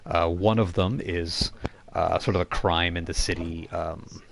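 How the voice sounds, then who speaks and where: Deep, gravelly-voiced man running a tabletop game. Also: fairly even intonation, measured pace, fairly bright tone, slight background noise. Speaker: game master. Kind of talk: casual narration of a tabletop roleplaying game.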